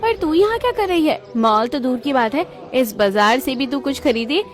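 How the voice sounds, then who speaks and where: A woman's voice: high voice, animated, moderate pace, faint music behind. A woman voicing a spoiled, boastful rich young woman in a cartoon, taunting in a showy, exaggerated way. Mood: sneering. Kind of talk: cartoon moral-story dialogue in Hindi.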